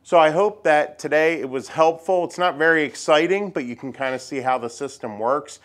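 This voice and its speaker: A man's voice: a little high, moderate pace, fairly bright tone. A middle-aged man hosting a home builder show, casually explaining the heating and cooling system as he walks through an unfinished house. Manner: plain, practical explaining with fillers. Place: unfinished wooden house under construction, indoors.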